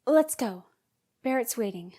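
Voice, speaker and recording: A woman's voice: fairly high pitch, animated, measured pace, dark tone. A woman playing an earnest, concerned young comrade in a fan dub. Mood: serious. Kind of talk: dramatic fan dub acting.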